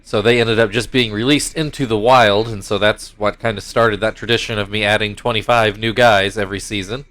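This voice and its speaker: A man's voice: on the low side, steady tone, brisk, hardly any pauses, fairly bright tone. A young man with a stuffy nose, casually commentating his own baseball video game with rambling asides and play-by-play calls. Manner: rambling and self-correcting, mock play-by-play calls.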